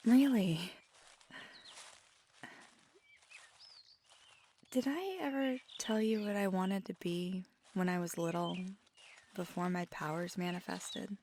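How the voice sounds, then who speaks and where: Woman playing a slow, low-voiced teasing girlfriend. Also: slight background noise. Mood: gentle encouraging. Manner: teasing, soft-spoken, halting, sometimes whispery. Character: feisty, affectionate magical girlfriend who loves nature. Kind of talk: intimate ASMR roleplay.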